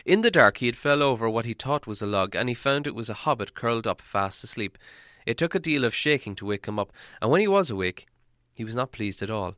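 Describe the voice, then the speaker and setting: A man narrating a storybook from a script in a dramatic, expressive storytelling manner. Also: mid-range voice, fairly quick, dry recording, clean studio sound. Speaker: audiobook narrator.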